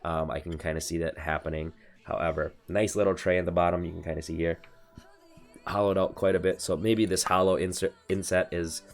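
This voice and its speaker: Stuffy low-voiced American man, gravelly. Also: flat delivery, brisk. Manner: rambling, many fillers and self-corrections. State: stuffy from allergies. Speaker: streamer reviewing card game accessories. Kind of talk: casual product review livestream. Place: home desk room.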